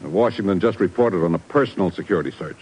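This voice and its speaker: Husky, low-voiced, worried bureau chief. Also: male voice, animated, quick pace, a little mellow, rough recording. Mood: grave. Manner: exasperated, emphatic, urgent. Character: gruff, worried male intelligence bureau chief. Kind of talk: 1950s radio drama acting.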